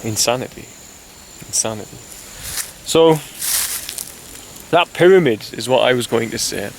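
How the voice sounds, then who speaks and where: A man's voice: medium pitch, expressive, a little slow, creaky, a little hiss or hum, poor audio. A man giving an impassioned monologue straight to camera, urging the listener in a rambling way that restarts mid-thought. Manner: earnest, urgent, addresses listener directly as "you". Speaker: video blogger.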